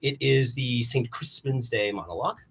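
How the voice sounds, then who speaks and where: A man's voice: medium pitch, normal speed, vocal fry, a bit bright, breathy edge. A man performing casual rambling comedy in a scatterbrained, theatrical manner. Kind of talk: rambling casual comic livestream.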